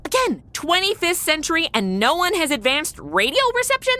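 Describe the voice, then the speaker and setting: A woman's voice: extremely high pitch, wildly swooping pitch, brisk, bright, forceful tone, exaggerated voice. A woman playing a sarcastic, wisecracking modern young woman in a comedy audio drama. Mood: snarky. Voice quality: snarky, wisecracking.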